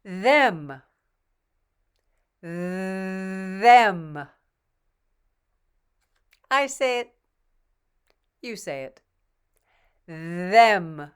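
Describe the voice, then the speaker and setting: Female pronunciation coach, very slow and patient, smooth clear voice, slightly low. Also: lively intonation. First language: English. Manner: models each word twice, patient and encouraging. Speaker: pronunciation teacher. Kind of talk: slow, deliberate pronunciation drill.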